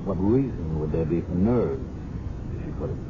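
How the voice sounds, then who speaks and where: Intense American detective, brooding, terse, probing, 1970s radio drama, muffled noisy broadcast. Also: male voice, deep voice, animated, normal speed. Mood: guarded, probing. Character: intense, brooding male police detective, personally invested.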